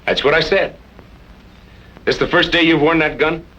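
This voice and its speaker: A man's voice: fairly high pitch, fairly even intonation, moderate pace, pushed and bright. An actor playing a mocking cow thief and gunman in an old western radio drama, full of feigned innocence. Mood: taunting. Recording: muffled, noisy old radio recording.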